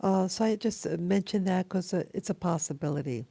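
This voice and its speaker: A woman in her late fifties with an American accent, speaking haltingly and reflectively in a casual personal vlog, her voice hoarse from having just woken up. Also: fairly low pitch, dark tone, dry recording. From Queens, New York City, USA. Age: 50s, almost sixty. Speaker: vlogger. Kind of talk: casual personal dream journal.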